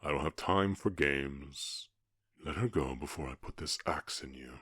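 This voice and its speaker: A man voicing a fierce but tenderly protective orc cowboy in an ASMR roleplay, speaking in terse, halting, earnest phrases. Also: extremely low pitch, a bit bright, breathy edge, dry recording, studio quality, heavily acted. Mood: grim menace.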